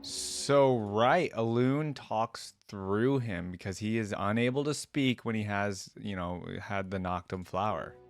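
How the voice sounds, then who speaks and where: A man's voice: fairly low pitch, measured pace, hardly any pauses, faint music behind. A man hosting a reaction video with casual, rambling commentary, thinking aloud in an informal way.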